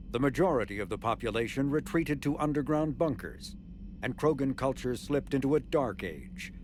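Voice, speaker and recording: A man's voice: medium pitch, slight background noise, lightly acted. A man playing a formal encyclopedia narrator, reading scripted lore for a science-fiction game.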